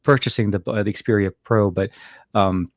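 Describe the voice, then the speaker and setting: A man's voice: on the low side, steady tone, moderate pace, no room sound, clean studio sound. A man from Lebanon co-hosting a casual tech show, playful and chatty with lots of fillers. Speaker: co-host.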